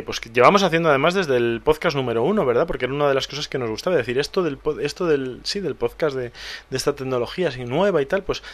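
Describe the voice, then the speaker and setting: Man, podcast co-host, relaxed chatty delivery, lots of fillers and restarts, mid pitch. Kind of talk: casual chat, reading listener mail.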